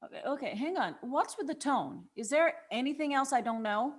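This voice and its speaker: A woman's voice: sits high, lively intonation, brisk, breathy edge. A woman playing a blunt, impatient young granddaughter in a scripted drama table read. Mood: confrontational.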